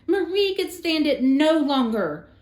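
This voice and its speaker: Female storybook reader, higher-pitched, unhurried and dramatic, with roomy sound. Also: big pitch swings, darkish tone. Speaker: storyteller reading aloud. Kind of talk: scripted children's storybook narration. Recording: roomy, echoing room sound.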